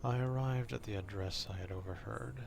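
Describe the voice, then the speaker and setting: A man voicing an obsessive, courtly vampire stalker in an ASMR roleplay, speaking formally and deliberately in a confiding, half-whispered way. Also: medium pitch, gravelly, background noise, natural voice. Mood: cold, intent.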